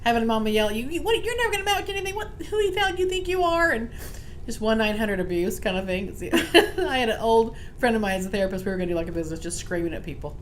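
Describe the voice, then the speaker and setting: A middle-aged woman, a therapist, chatting casually and telling stories with lively put-on voices. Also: on the high side, racing, a little hiss or hum. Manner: animated storyteller, puts on yelling voices. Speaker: therapist and psychic. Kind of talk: casual chat.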